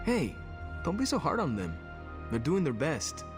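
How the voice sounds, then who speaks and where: An actor playing an earnest, conflicted young superhero who hesitates and searches for words in a game cutscene. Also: male voice, fairly high pitch, huge pitch swings, a little slow, over music. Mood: pleading. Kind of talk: video game cutscene acting.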